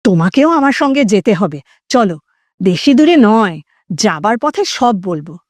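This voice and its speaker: A woman voicing a forceful, impatient male friend in an audio story, brisk, bossy and exclamatory. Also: medium pitch, very animated, no echo. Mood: insistent.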